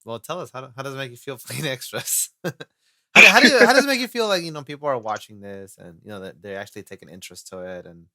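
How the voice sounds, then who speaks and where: A man's voice: medium pitch, sing-song, fast talker, pushed and bright, no room sound. A man hosting a casual podcast chat about voice casting, rambling with lots of "you know" fillers. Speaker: host and casting director.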